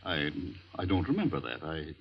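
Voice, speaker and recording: An actor playing an evasive guardian and family friend in a 1940s radio drama, speaking hesitantly. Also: male voice, extremely low pitch, wildly swooping pitch, normal speed, vocal fry, breathy edge, full character voice. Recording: muffled old radio recording.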